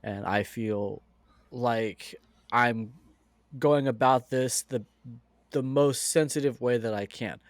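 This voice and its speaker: A man's voice: medium pitch, takes it slowly, no room sound. Rambling American man chatting. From United States. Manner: rambling, frequent fillers and restarts. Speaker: podcast co-host. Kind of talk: casual podcast chat.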